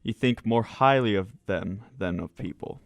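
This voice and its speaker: A man playing a learned, wry psychiatrist in an amateur radio drama, speaking formally and with measured candour. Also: on the low side, vocal fry, no room sound. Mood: bemused.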